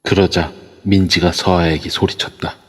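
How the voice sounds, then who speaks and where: A man narrating an amateur audio drama in a steady storytelling manner. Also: deep voice, normal speed. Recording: long echoey reverb.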